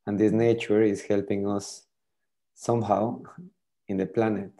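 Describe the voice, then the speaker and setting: Low mellow-voiced French man. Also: flat delivery, a little slow. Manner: hesitant, repeats words while thinking. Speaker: tool demonstrator. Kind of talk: casual video call demo. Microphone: video call.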